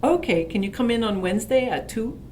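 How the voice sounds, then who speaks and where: A woman playing a brisk, unaccommodating medical receptionist in an educational dramatization. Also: on the high side, lively intonation, a little mellow, lightly acted. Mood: businesslike. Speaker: doctor's office receptionist. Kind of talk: acted phone dialogue in an educational video. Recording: faint background noise.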